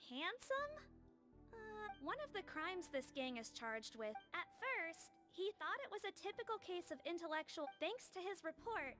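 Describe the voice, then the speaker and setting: A woman voicing an articulate, animated young woman who explains things in game dialogue. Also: very high-pitched, normal speed, music in the background. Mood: explanatory.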